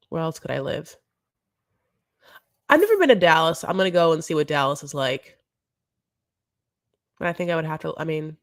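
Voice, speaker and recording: A woman's voice: low voice, dry recording, studio quality. A woman hosting a casual live recap chat, rambling and campy with lots of asides. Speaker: show recap host.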